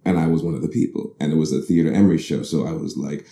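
A man in his thirties from the Bahamas with an extremely low, gravelly voice. He talks through his life story in a candid, reflective way, restarting sentences and laughing easily. The dark, mellow tone rings out in a roomy, echoey space. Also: quick pace. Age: 30s. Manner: thoughtful, self-correcting, laughs easily. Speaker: actor guest. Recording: roomy echo.

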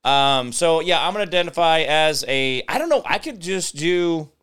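An American man hosting a talk show with rambling, off-the-cuff political commentary in a halting, sardonic, digressive manner. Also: a little high, expressive, a bit bright, clean tone. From United States.

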